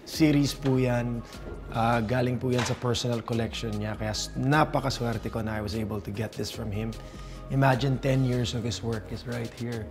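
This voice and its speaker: A man's voice: mid-range voice, steady tone, moderate pace, over music. A man in his forties with a Filipino English accent, giving a casual, chatty house tour and laughing often, recorded on a handheld camera in a large house. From Philippines. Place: large modern house, indoors and on a roof deck. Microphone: handheld camera following him.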